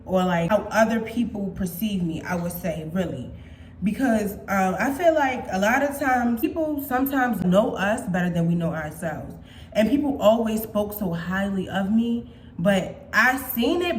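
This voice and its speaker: A young woman in her twenties talks straight to her viewers in a loose, playful vlog chat, swearing freely as she goes. Her voice sits at an ordinary middle pitch. The room around her is echoey, with a faint hum underneath. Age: 20s. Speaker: vlogger. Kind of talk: casual chat.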